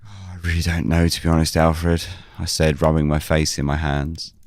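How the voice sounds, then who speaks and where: A man playing a weary investigator who narrates a scripted audio drama in the first person, measured and brooding. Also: extremely low pitch, flat delivery, darkish tone, no echo. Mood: inquiring. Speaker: narrator and lead character.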